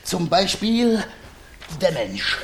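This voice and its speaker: An actor playing a grumpy, cursing misanthropic painter husband in a 1950s German radio comedy, grumbling and declaiming with sardonic theatricality. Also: male voice, sits high, very animated, very slow, full character voice. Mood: grumbling, declamatory. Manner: grumbling, declamatory outbursts with muttered asides. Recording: noisy 1950s radio drama recording.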